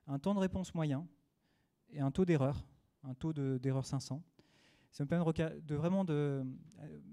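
A man with a French accent speaks at a small meetup, his voice mellow and slightly higher than most men. He talks in a halting way, often pausing on fillers and restarting his words. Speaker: conference speaker. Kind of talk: technical meetup talk. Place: small dim meetup room.

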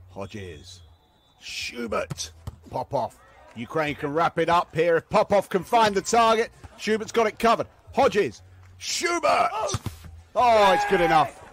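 A man with a fairly high voice calls beach volleyball play by play. He speaks in short, clipped phrases and lifts towards a shout when the big points come. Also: very animated, slow, pauses often. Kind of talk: live sports play-by-play commentary.

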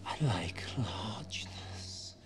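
An actor playing a sly, menacing courtier knight in a fantasy film, measured and insinuating. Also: male voice, on the low side, expressive, in a whisper.

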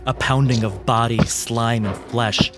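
A man narrating a horror tabletop game as game master, with dramatic, descriptive and deliberate phrasing. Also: mid-range voice, steady tone. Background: background music.

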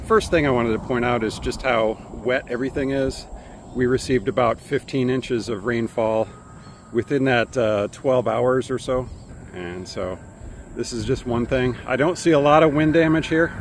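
A man in his fifties with an American accent gives casual vlog commentary in a halting, matter-of-fact way, recorded outdoors on a phone. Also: medium pitch. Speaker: cycling vlogger. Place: outdoors by bike paths and roads. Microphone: phone at arm's length. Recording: noisy outdoor audio with traffic ambience.

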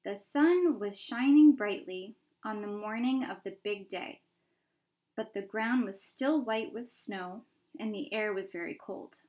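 A woman's voice: medium pitch, a little mellow, poor audio. A woman in her thirties reading a children's book aloud as narrator. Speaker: reader. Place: indoor room at home by a window.

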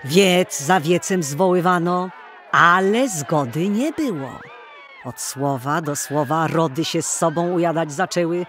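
Unhurried male storyteller, higher-pitched voice. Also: a bit bright, faint music behind. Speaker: narrator. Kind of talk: dramatic storytelling narration of an old folk tale.

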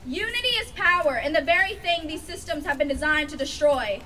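A young woman with a very high, forceful voice delivers spoken word poetry at an outdoor rally. Her delivery is impassioned and declamatory. She sounds distant, over a PA, with music behind her. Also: animated, brisk. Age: young adult. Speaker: youth climate activist and spoken word poet. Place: outdoor rally stage under a canopy. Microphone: stage microphone at a podium.

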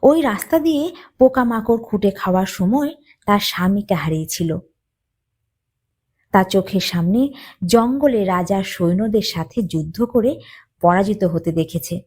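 Slow, very expressive woman storyteller. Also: mid-range voice. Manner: deliberate, dramatic phrasing. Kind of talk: expressive narration of a children's moral story.

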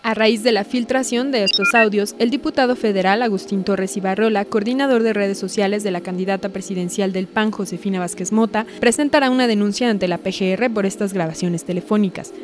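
A woman's voice: medium pitch, fairly quick, few pauses. A woman with a Mexican accent reading scripted news narration.

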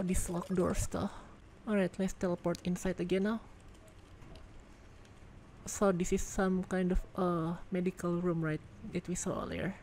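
A woman giving casual gameplay commentary as a reviewer, excitable and thinking out loud, congested and losing her voice. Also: fairly low pitch, a little hiss or hum. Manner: excitable, exclaims in surprise, thinks aloud. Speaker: game video reviewer.

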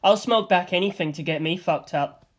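A brash male bullet creature who lives for getting wasted, read by a man. He tosses off crude lines bluntly in a fairly high voice. The delivery stays close to an everyday reading voice. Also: moderate pace, a bit bright. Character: reckless male living bullet, hard-partying addict.

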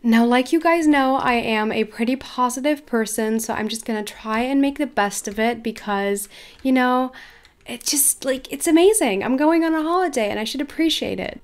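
A teenage girl from Belgium, chatting casually at her PC about an injury over game footage. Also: sits high. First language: Dutch. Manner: chatty, repeats herself, frequent "you know". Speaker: gaming YouTuber. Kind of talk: casual story time over gameplay.

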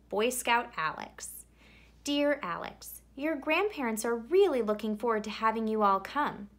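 A woman reads a children's story aloud in a highly animated voice of middling pitch. She leans on key words and stretches them out for theatrical effect, as a storyteller does for young listeners. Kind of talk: expressive children's picture book read-aloud.

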